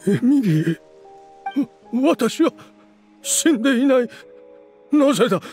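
A man's voice: very high voice, big pitch swings, unhurried, a little mellow, soft background music, heavily acted. An actor playing a bitter, vengeful male game villain who speaks in dramatic, faltering disbelief. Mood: shocked disbelief. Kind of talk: Japanese anime game voice acting.

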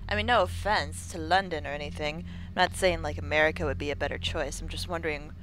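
A young woman giving casual, jokey let's-play commentary close to her mic, with a low morning voice. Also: fairly even intonation, fast talker, fairly bright tone, slight background noise, rough recording. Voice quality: lower morning voice. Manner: chatty, jokey, swears, laughs a lot. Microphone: close desk mic.

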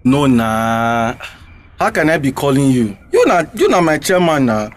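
An actor with a Nigerian Pidgin accent playing a bullying street robber, taunting and commanding, in a radio drama. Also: male voice, mid-range voice, very animated, unhurried. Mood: menacing, mocking.

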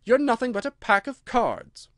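A bold, outspoken young storybook girl, acted by a male reader, speaks bluntly and defiantly. The pitch is lifted well up and moves about freely, recorded dry. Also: expressive, normal speed, a bit bright, dry recording.

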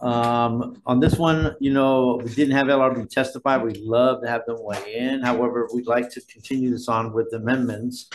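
A man's voice: medium pitch, fairly even intonation, moderate pace, few pauses, slight background noise, low-quality recording. A man chairing a legislative committee hearing, running through measures in a conversational way with fillers, speaking into a desk microphone in a hearing room. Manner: conversational, thinks aloud with fillers. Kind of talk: formal hearing proceedings.

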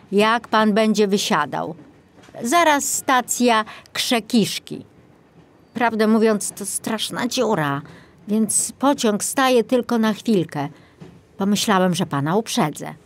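A woman's voice: medium pitch, animated, slow pace. A woman voicing a friendly, chatty male train conductor in a children's audiobook. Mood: helpful.

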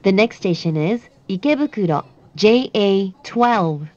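A woman giving clear recorded English announcements for a train. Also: medium pitch, lively intonation, takes it slowly, darkish tone, no echo. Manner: clear, evenly paced announcer delivery. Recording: dull dry sound over train speakers.

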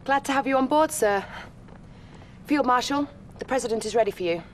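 An actress as a poised young intel lieutenant. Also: female voice, on the high side, fairly quick, a little hiss or hum, lightly acted. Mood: courteous. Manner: formal, crisp, earnest. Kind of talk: acted game mission briefing.

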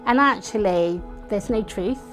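Middle-aged British female interviewee, medium pitch, explanatory delivery over faint music. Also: a little slow, a bit bright. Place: bright indoor room with curtains.

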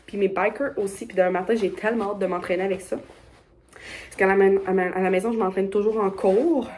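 A woman's voice: medium pitch, steady tone, brisk, a little mellow, slight background noise. A young woman with a Québécois French accent chatting casually in an upbeat, rambling way for her vlog, filmed on a phone held at arm's length. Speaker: vlogger. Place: bedroom and car.

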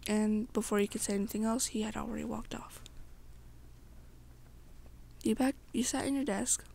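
A young woman telling an ASMR roleplay story in the second person, her breathy voice sometimes dropping toward a whisper. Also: on the high side, steady tone, moderate pace, a little mellow.

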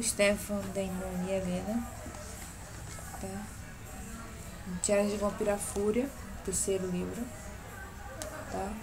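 A woman's voice: medium pitch, rough recording. Young woman, nasal with a cold. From Brazil. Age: young adult. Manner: chatty, keeps adding "tá?" checks. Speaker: book blogger. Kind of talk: casual vlog book show-and-tell. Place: small bedroom at home. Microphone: webcam at close range. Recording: neighbours' music heard behind. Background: neighbours' music playing.

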